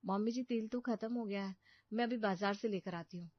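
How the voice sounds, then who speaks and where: A woman's voice: medium pitch, fairly quick, dry recording, lightly acted. A woman voicing a dutiful young daughter-in-law in a Hindi cartoon, speaking respectfully as she explains things. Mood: polite, obliging. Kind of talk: Hindi cartoon family story dubbing. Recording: dull, muffled studio voice track.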